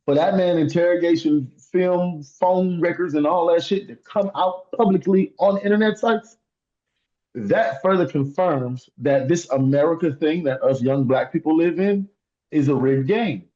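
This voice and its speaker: A man's voice: fairly high pitch, a little slow, dark tone, lo-fi sound. A man talking heatedly in a casual podcast discussion, emphatic and laughing through his points. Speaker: podcast co-host.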